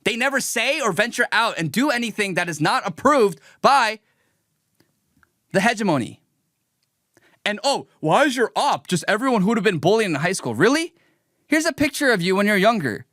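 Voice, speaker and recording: Combative high-pitched man ranting. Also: sing-song, moderate pace, a bit bright, no room sound, clean studio sound. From raised in the United States. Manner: combative, mocking, emphatic. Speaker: political commentator and streamer. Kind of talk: livestream reaction rant.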